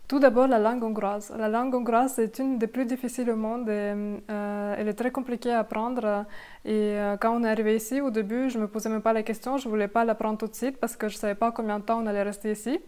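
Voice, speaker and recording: Clear, higher-voiced woman vlogger. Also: fairly even intonation, fast talker, warm tone. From grew up outside France, moved there later. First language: not French; learned it after moving to France. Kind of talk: casual chat to viewers about expat life.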